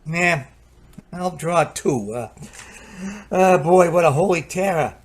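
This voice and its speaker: An actor playing a chatty, excitable technician with an American accent, colloquial, in an old-time radio drama. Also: male voice, fairly high pitch, slow pace. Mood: exasperated, amused. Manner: stammering when flustered, colloquial. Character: excitable working-man technician, chatty and easily alarmed.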